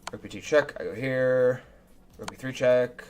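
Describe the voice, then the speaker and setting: Young man, slightly raspy, mid-pitched voice, thinking aloud over chess moves, soft music underneath. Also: moderate pace. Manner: chatty and self-critical, thinking aloud move by move. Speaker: chess streamer. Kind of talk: casual live commentary reviewing his own game. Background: faint background music.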